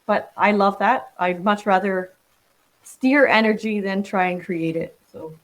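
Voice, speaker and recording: A woman's voice: medium pitch, measured pace. A woman in her sixties from the Netherlands, a podcast guest talking reflectively and casually, with frequent ums and restarts. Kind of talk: casual interview conversation.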